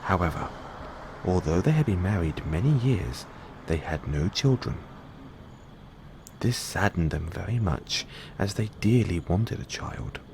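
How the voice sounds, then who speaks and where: A man reading bedtime fairy tales as an audio roleplay, in measured storybook narration. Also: low voice, animated, vocal fry, noisy background.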